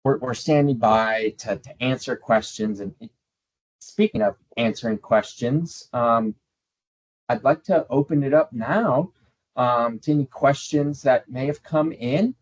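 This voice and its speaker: A man's voice: medium pitch, measured pace. A man hosting a travel webinar, upbeat and polite, thanking guests and passing on questions. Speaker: webinar host. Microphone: video call. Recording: slightly dull video call audio.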